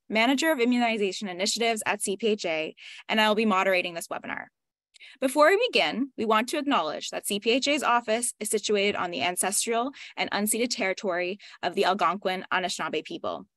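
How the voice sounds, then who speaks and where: A woman, a webinar moderator reading a prepared introduction over a video call in a measured way. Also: mid-range voice, a bit bright, no room sound.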